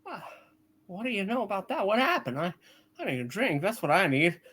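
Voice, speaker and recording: Troubled, skeptical everyman, male performer: sharp, animated, folksy stammering delivery, hammy old radio-play feel. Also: high-pitched, a little fast, husky, heavily acted. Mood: bewildered. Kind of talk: hammed-up radio play reading.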